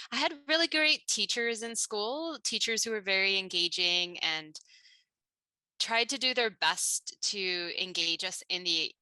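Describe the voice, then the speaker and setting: A woman's voice: medium pitch, pushed and bright, no echo, poor audio. A woman in her thirties who grew up in Malaysia, a scientist answering interview questions thoughtfully, with many restarts and fillers.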